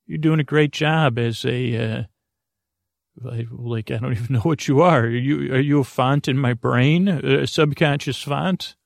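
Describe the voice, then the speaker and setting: A man with a gravelly, creaky voice hosts a bedtime podcast, drifting from thought to thought with plenty of fillers and false starts. His delivery is soft, self-deprecating and reassuring, and the recording is dry and close, with no room echo. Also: medium pitch. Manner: meandering and digressive, with many fillers and restarts. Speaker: podcast host. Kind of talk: rambling bedtime podcast monologue.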